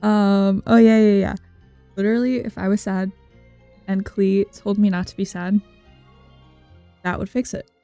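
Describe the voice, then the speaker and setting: A woman's voice: mid-range voice, little pitch movement, unhurried, pauses often. A young woman giving casual, rambling, playful game commentary as she thinks aloud. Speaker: game streamer. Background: soft game music.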